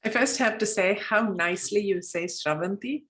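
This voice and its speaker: A woman's voice: mid-range voice, normal speed, a bit bright. A woman whose first language is Telugu, earnestly explaining her points step by step as a club mentor on a video call. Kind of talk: answering in a virtual meeting.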